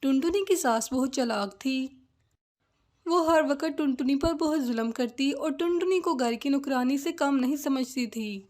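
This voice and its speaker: A woman's voice: high voice, moderate pace, darkish tone. A woman reading scripted narration for a children's cartoon story.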